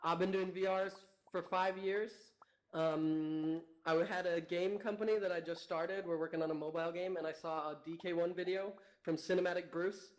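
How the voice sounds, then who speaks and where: Young man from canada, high voice, chatty guest, laughs easily. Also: steady tone, moderate pace, low-quality recording. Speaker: guest, company founder. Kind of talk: casual interview chat. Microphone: remote call.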